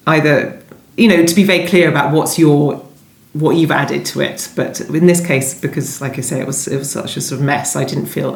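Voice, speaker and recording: A low-pitched woman speaks in a roomy, echoing space. She is an author giving conversational interview answers, discursive and often reworking a thought as she talks it through. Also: gravelly. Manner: discursive, thinking aloud, rephrasing as she goes. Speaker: novelist being interviewed about her book. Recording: roomy, echoing indoor sound.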